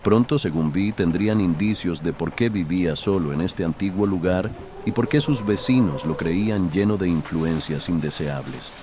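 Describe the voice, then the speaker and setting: A man narrating a literary horror story in a measured, solemn way. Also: sits low, noisy background. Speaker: audiobook narrator.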